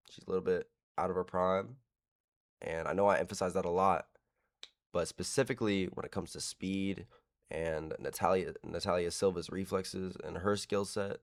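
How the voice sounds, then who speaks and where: A man's voice: sits low, creaky. A man hosting casual fight predictions, frank and rambling with casual swearing. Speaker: host.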